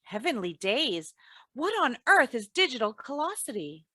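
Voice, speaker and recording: Woman acting a sensible housewife, old-time radio comedy, folksy, gently chiding, high bright voice. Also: sing-song, normal speed, breathy edge, no room sound, studio quality, heavily acted. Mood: gently teasing.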